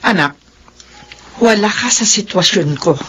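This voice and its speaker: Woman acting a defensive mother, animated voice. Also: medium pitch, unhurried, husky. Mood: wounded, indignant. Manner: defensive, pleading, emphatic. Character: defensive, exasperated middle-aged mother in forbidden romance. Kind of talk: melodramatic radio drama acting.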